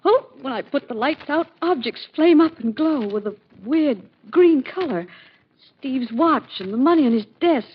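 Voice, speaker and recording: An actress playing a frightened, pleading married woman with a husky, breathy, tremulous delivery, in 1940s radio drama style. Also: sits high, lively intonation, moderate pace. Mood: anxious. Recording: muffled 1940s radio recording.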